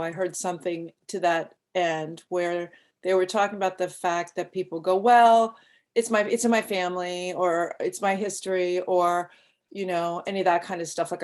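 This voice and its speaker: A woman's voice: lowish voice, moderate pace. Chatty woman podcast host. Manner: chatty, enthusiastic, animated. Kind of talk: casual interview talk.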